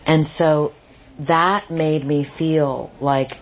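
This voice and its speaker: Rich: An earnest woman author at a bookstore talk, restarting her thoughts and reflecting aloud. She speaks at a middle pitch. The recording is muffled, with faint noise underneath. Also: steady tone, extremely slow. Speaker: author and journalist. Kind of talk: book talk and audience Q&A. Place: bookstore event.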